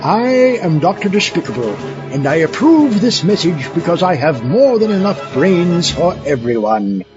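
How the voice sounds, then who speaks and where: A man's voice: on the high side, sing-song, normal speed. A man playing a grandiose, self-satisfied supervillain in a cartoonish comedy campaign ad. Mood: smug. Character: arrogant male supervillain running for dictator. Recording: dull audio with music behind.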